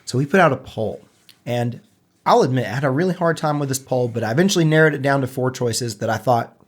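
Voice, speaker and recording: A man co-hosting a casual gaming podcast, a little stuffed up from allergies, chatting in a relaxed, self-deprecating way. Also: medium pitch, quick pace. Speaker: podcast co-host.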